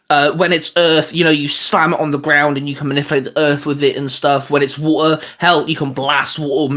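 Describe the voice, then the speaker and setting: Eager British man riffing on ideas. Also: a little high. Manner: enthusiastic, brainstorming out loud. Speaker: podcast host. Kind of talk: casual chat.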